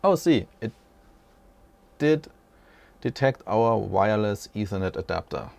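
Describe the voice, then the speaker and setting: A man's voice: on the low side, animated, measured pace, creaky, darkish tone, no room sound. A man in his forties hosting an unscripted tech walkthrough from a small room at home, rambling casually and correcting himself as he goes. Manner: rambling, self-correcting, chatty. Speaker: tech channel host.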